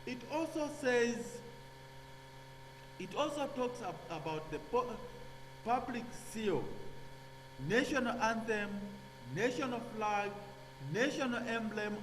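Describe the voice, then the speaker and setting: A man giving a classroom lecture, halting with many fillers and restarts, checking in with "okay?". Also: high-pitched, a bit bright. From Zambia. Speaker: nursing lecturer. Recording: echoey hall with hum.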